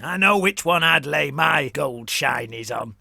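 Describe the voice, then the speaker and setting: A brutal orc warboss with a deeply gravelly, forceful growl. He gloats and mocks in rough slang, pushing every line hard. The voice is dry and close. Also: lively intonation, a little slow, no room sound, heavily acted. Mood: gloating. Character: grinning brutal male orc warboss, mocking.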